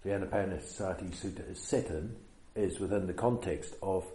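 Deep-voiced New Zealand meditation teacher. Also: male voice, mellow, reverberant. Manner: unhurried, hesitant, many fillers. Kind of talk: dharma talk.

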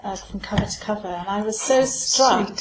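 A woman's voice: medium pitch, a crowd in the background. Earnest woman interviewer, echoey. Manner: measured, earnest, slightly hesitant. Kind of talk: interview questions. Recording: echoey room with faint noise.

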